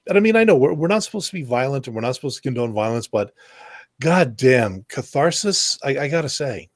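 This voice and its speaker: A man's voice: mid-range voice, animated, a little fast, no room sound, clean studio sound. A man co-hosting a casual round-table podcast, telling anecdotes and acting out the people in them, with a lot of swearing. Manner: storytelling, acts out quoted exchanges, swears freely. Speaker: podcast co-host.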